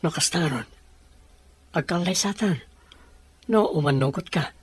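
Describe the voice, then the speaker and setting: Man as an earnest young suitor, lighter pitch. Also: measured pace, breathy edge. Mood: tender, earnest. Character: earnest, respectful young man planning to marry. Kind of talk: radio drama acting in Ilocano.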